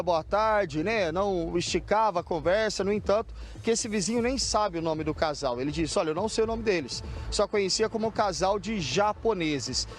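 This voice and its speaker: A Brazilian man reporting live for TV news from a city street, describing the scene as he points things out. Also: sits high. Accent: Brazilian Portuguese. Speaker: field reporter.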